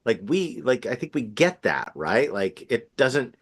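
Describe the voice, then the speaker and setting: A man in a casual panel conversation, talking in halting bursts and often checking for agreement. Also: mid-range voice, big pitch swings. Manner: halting, seeks agreement with frequent "right?".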